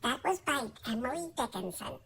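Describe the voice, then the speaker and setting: A man putting on a small, childlike, playful character who recites children's poetry in a deliberate, theatrical way. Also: very high voice, sing-song, vocal fry, pushed and bright, breathy edge, pitched above the performer's own voice, exaggerated voice. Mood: playful, suspenseful. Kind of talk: dramatic children's poetry reading.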